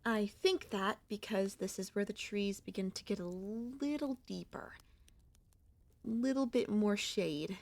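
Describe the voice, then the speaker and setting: A woman voicing a gentle, wistful and anxious young centipede monster girl in an ASMR audio roleplay, speaking softly and hesitantly in a conversational way. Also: medium pitch, unhurried. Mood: calm, reminiscing.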